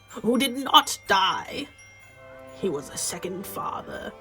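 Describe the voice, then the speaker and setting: A woman's voice: mid-range voice, fairly bright tone, rough recording. A woman playing a droll, grand storyteller, giving dramatic scripted narration for a radio play.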